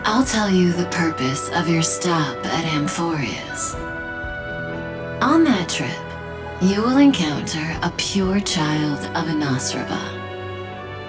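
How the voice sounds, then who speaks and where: An actress playing a composed, knowing woman in an English game dub, speaking in a deliberate, solemn, reassuring way over music. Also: low-pitched. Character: composed, knowing woman prophesying fate to a chosen traveler.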